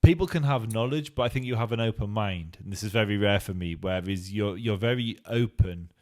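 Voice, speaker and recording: A man with a fairly low voice, hosting a casual debate conversation. He speaks in a measured, agreeable way, picking up his guest's points and adding to them.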